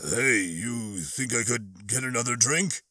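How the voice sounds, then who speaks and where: Audiobook role: man acting alien barfly smuggler, tipsy and slangy, bright-edged voice. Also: medium pitch, a little slow, dry recording, studio quality, voice pushed down. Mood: hazy, compliant. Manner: casual, hesitant, slangy. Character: one-armed male alien smuggler barfly, loose-lipped and tipsy. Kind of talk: audiobook character dialogue.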